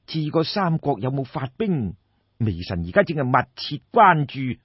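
A man's voice: medium pitch, sing-song, normal speed, husky. A man with a Cantonese accent playing an obsequious, theatrical minister to an ancient king in an audiobook. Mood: deferential, flattering. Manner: obsequious, sighing, deferential. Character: fawning, theatrical male court minister to a king. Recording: dull, roomy lo-fi recording.

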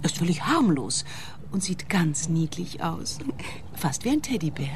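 A woman's voice: lowish voice, very animated, moderate pace. Eccentric, self-assured woman inventor, noisy recording. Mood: doting, boastful. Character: eccentric, self-assured female amateur gene tinkerer, proud of her creature. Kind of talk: comic radio play acting.

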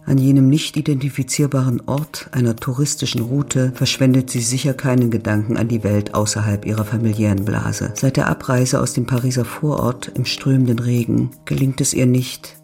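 Guy reading literary audio drama narration, measured and descriptive, music underneath. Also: mid-range voice, flat delivery, gravelly, a little mellow.

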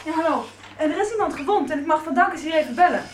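A woman's voice: high voice, expressive, rapid-fire, echoey room, noisy background. An actress in a radio soap playing a bold, defiant teenage schoolgirl, brash and direct. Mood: bold, urgent. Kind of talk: 1990s radio soap acting.

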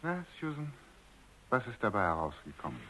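A man's voice: fairly low pitch, big pitch swings, normal speed, bright, forceful tone, full character voice. An actor playing an urbane, middle-aged male scientist in a radio drama, talking in a jovial, wordy, slightly patronizing way. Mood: relaxed, reassuring. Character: urbane, amused, self-assured male scientist, somewhat condescending. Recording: dull, band-limited radio recording.

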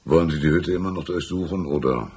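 An actor playing a folksy, shrewd older small-town lawman in a radio drama, patronizing and unhurried, with a gravelly, husky voice. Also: extremely low pitch, a little mellow. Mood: probing, calm. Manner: drawn-out, patronizing, calls listener "my son".

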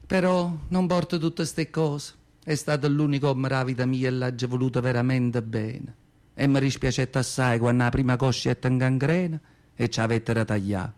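A man playing an earthy, feisty old Southern Italian woman who tells her memories in expressive Neapolitan dialect, in a theatrical radio monologue. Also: mid-range voice, natural voice. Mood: wistful. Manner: expressive, colloquial dialect storytelling. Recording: prominent acoustic guitar music behind.

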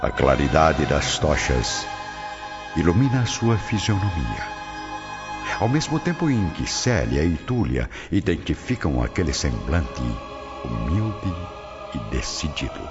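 A man's voice: a bass voice, sing-song, unhurried. A man with a Brazilian accent giving dramatic scripted narration for a radio drama. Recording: dull lo-fi audio with prominent music.

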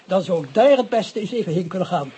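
An actor playing a brisk, learned professor in a radio play, who rattles off medical terms. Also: male voice, sits high, animated, mellow, a little hiss or hum. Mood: dry.

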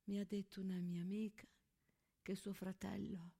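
A woman playing a disillusioned, war-weary diarist at home, confiding in a hushed theatrical monologue. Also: mid-range voice, little pitch movement, moderate pace, creaky, slightly rough, pitched below the performer's own voice.

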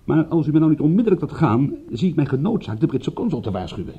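A man's voice: a little high, big pitch swings, fast, husky, muffled recording. A man playing an indignant, glib British private detective in a radio drama, argumentative and wordy, stumbling into his sentences. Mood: polite, insistent. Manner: argumentative, stammering starts, wordy formal retorts.

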